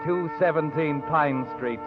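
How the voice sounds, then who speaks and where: A man's voice: on the high side, measured pace, close to an everyday voice. Guy doing a knowing, sinister 40s radio mystery narrator, thin phone-like sound, music underneath. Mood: ominous. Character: ominous, knowing male storyteller addressing the guilty. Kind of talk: 1940s radio suspense narration in second person. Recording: tinny old radio broadcast with music.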